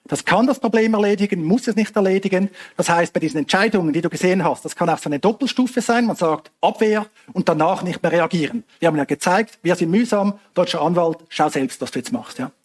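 A man's voice: high-pitched, fast, few pauses, slightly rough. A man from Switzerland, answering audience questions after a talk in an explanatory way. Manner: explanatory, hedging asides, frequent fillers.

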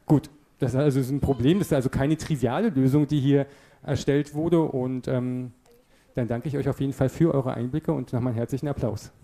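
A man's voice: mid-range voice, steady tone, a little fast, mellow, faint music behind. A man hosting a project showcase, chatting upbeat with presenters and audience. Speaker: event host.

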